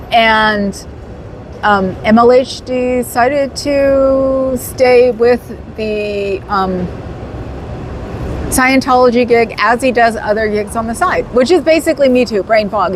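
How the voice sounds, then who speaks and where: A woman hosts a casual livestream, rambling to her viewers and breaking into laughter often. Her voice sits a little high. Street traffic is clearly audible behind her. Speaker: livestream host.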